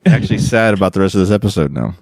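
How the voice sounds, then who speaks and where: A man's voice: deep voice, animated, rapid-fire, vocal fry, darkish tone, no room sound. Man, podcast host, casual storytelling, rambling and self-correcting, dry close sound.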